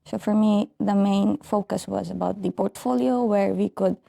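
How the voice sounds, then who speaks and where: Young woman from Bangladesh, gravelly mellow voice. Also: mid-range voice, steady tone, normal speed. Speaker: recent architecture graduate. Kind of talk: casual interview answers.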